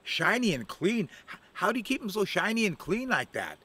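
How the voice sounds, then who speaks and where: A man's voice: high-pitched, very animated, moderate pace, bright, forceful tone, slightly rough, voice pushed up, full character voice. A man playing sly Octopus Woman. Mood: flattering. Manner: sweet-talking, coaxing. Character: sly flattering female octopus, patient predator.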